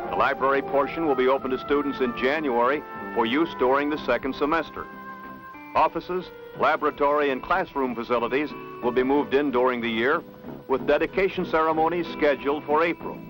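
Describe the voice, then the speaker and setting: A man's voice: mid-range voice, fairly quick, poor audio. An American man reading scripted newsreel narration as an announcer. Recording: 1970s film soundtrack with music. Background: music.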